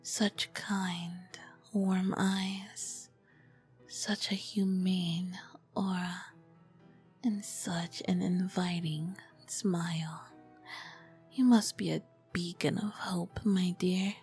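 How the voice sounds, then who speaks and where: Casting: female performer as charming, dangerous royal vampire queen for ASMR roleplay; breathy whispered delivery, unhurried, courtly and flirtatious. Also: lowish voice, steady tone, darkish tone, soft background music, heavily acted. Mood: tender, admiring. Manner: slow, formal, flirtatious phrasing. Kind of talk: ASMR roleplay, scripted and intimate.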